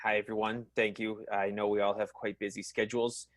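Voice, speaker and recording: A man's voice: on the low side, level tone, fairly quick, a bit bright, no echo, natural voice. A man playing a nervous, stammering, awkwardly polite chairman in an improvised comic radio play. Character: nervous, evasive man chairing a vaudeville company meeting.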